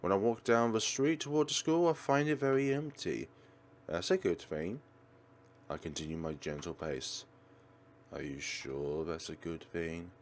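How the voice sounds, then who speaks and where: A man's voice: deep voice, unhurried, slight background noise. A man reading a visual novel aloud with dramatic delivery, adding his own commentary as a let's player.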